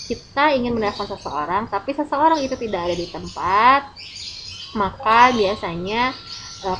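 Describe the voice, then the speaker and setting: A woman with an Indonesian accent, a native Indonesian speaker, teaches English informally in an online lesson, explaining examples and translating as she goes. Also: medium pitch. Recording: clearly noisy, with birdsong. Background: birds chirping, ambient noise.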